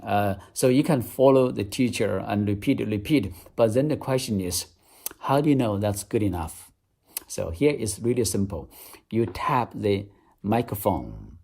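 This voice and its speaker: A man's voice: fairly low pitch, animated, a little slow, gravelly, darkish tone, breathy edge. A man with a Chinese accent, a native Chinese speaker, teaching English step by step in an app demonstration. He talks earnestly and repeats key phrases.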